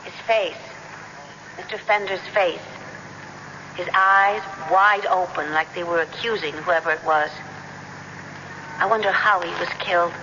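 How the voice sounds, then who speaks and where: An actress playing an earnest, observant young rookie police detective in a radio drama, questioning, hesitant and persistent. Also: female voice, lowish voice, unhurried, long pauses, close to an everyday voice. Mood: troubled. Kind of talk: 1970s radio drama acting. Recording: dull, noisy, reverberant 1970s radio recording.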